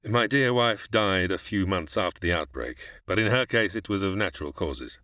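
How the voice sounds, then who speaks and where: A cultured middle-aged man of science, played by an actor, guarded and articulate in the manner of old radio sci-fi. His formal, theatrical delivery rides on a slightly low, lively voice, recorded dry and close. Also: moderate pace, no room sound. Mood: grave, haunted.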